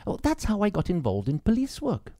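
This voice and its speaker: A man's voice: high voice, huge pitch swings, brisk, creaky, mellow, no echo, clean studio sound, voice pushed up, full character voice. A man voicing a young policewoman, polite, earnest and a little hesitant, in an audiobook.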